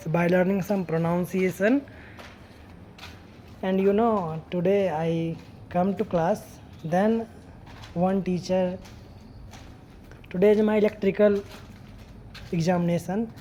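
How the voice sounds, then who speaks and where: A young woman from Bihar, India, with an Indian English accent and Hindi as her first language, practicing spoken English in a halting, unscripted monologue full of fillers and restarts. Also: fairly low pitch, dark tone, slight background noise. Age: young adult.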